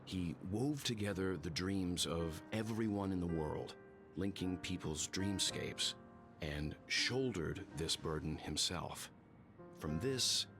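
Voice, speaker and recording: A solemn figure who speaks slowly and with great weight. His voice sits very low. Game music runs clearly under him. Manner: slow, measured, grave. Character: solemn man telling a homeland parable. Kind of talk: game voice acting.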